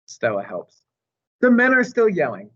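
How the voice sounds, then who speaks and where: A man reading a children's story aloud as narrator, in an expressive storytime style. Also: a little high, a little slow, muffled recording. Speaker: storytime reader.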